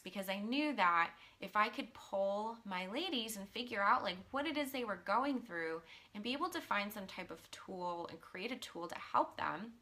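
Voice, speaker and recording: A woman in her thirties, a coach, talking casually in a live stream, earnest and chatty with many ums, and a little hoarse from losing her voice. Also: mid-range voice, bright, forceful tone. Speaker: mindset and business coach. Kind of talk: casual live-stream talk, reading viewer comments.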